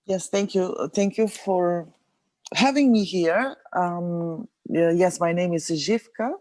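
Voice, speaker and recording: Hesitant, slow-talking woman from Bulgaria. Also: fairly low pitch, a little mellow, dry recording. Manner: hesitant, many ums and uhs. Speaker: webinar participant. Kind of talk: casual spoken introduction. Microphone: video call.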